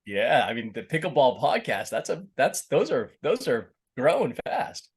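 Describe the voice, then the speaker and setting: Man, middle-aged, slight Boston accent, podcast guest, casual chat, fillers and restarts, medium pitch. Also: big pitch swings, moderate pace, slightly rough. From Boston, USA. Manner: rambling, many restarts and fillers.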